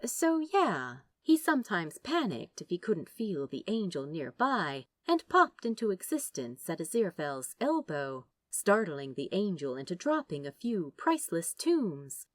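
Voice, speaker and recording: Low, unhurried woman narrating fiction. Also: dry recording. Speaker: podfic narrator. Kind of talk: expressive scripted fiction narration.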